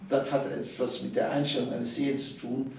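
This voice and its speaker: An older man tells memories in a casual, conversational way. His voice has a creaky edge and a medium pitch. The room echoes heavily and he sounds away from the microphone. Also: little pitch movement, fairly quick, darkish tone, a little hiss or hum. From Cologne, Germany. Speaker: interview guest, author. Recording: very echoey room, distant mic.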